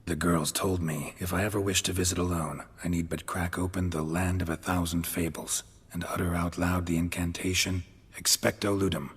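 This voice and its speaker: An actor playing a gruff, stoic monster hunter in a video game cutscene, terse and deadpan, with a gravelly voice. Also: male voice, moderate pace. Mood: dry, stern. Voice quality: gravelly, husky low voice.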